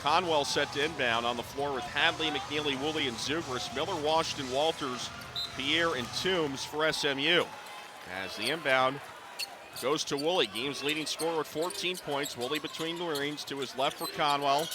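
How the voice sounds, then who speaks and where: Higher-voiced male basketball play-by-play announcer. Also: normal speed, background noise. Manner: clipped running descriptions, rising to excited calls. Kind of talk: live radio sports commentary. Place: courtside in a basketball arena.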